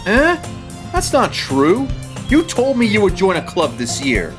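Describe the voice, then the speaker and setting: A woman voicing a carefree, caring teenage schoolboy in an anime-style audio drama, earnest, teasing and expressive. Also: mid-range voice, a little slow, music in the background. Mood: playfully hurt.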